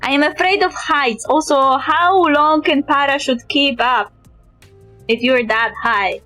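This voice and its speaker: A woman's voice: high-pitched, a little slow, bright, forceful tone, no room sound, soft background music. A woman with a Polish accent chatting casually on a live game stream, joking and opinionated. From Poland.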